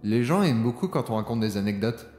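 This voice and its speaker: An actor playing an enthusiastic, history-loving sidekick on an exploration in an audio drama, chatting in a casual, easygoing way. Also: male voice, medium pitch, lively intonation, fast, darkish tone, close to an everyday voice. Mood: practical, eager. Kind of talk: French audio drama, naturalistic dialogue. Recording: roomy echo, music underneath.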